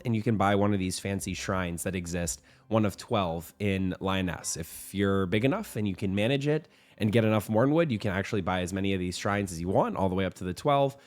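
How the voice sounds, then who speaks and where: Young male game-news host, low-ish voice, slightly hoarse, upbeat and rambling, light music bed. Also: darkish tone. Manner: upbeat, rambling, with frequent restarts and fillers. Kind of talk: casual game news commentary.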